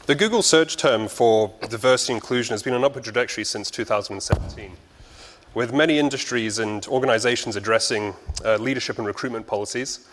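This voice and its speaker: A man moderating a panel discussion on stage, brisk and sometimes restarting his sentences. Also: medium pitch, a bit bright. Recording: hall reverb.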